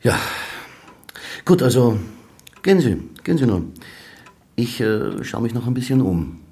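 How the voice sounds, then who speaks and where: An actor playing a skeptical, dry police inspector, curt and probing, in a radio drama. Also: male voice, mid-range voice, very animated, unhurried, dark tone, husky, heavily acted.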